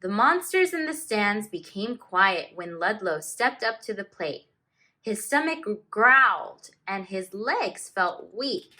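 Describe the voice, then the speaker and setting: A woman teacher reading a picture book aloud to children, expressively and with deliberate dramatic emphasis. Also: mid-range voice, sing-song, pushed and bright.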